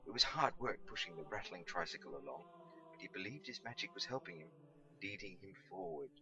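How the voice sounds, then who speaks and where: A man reading a storybook aloud as an expressive narrator. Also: lowish voice, a little fast, gravelly, dull audio. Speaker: audiobook reader.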